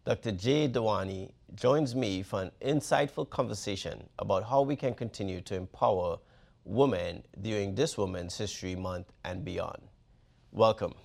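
A man's voice: lowish voice, normal speed, gravelly, darkish tone. A man hosting an interview podcast, asking questions with many fillers and restarts. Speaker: university president, host.

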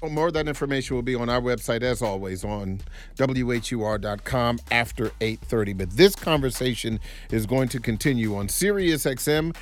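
A man with an American accent hosting a radio call-in show in a measured announcer's delivery. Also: mid-range voice. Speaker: radio talk show host.

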